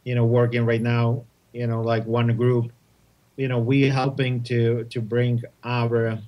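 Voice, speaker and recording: A man from Czechoslovakia with a Czech accent, answering interview questions in halting English full of restarts. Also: medium pitch, monotone, mellow, poor audio. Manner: halting, many restarts and repeated words. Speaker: guest, testing company representative.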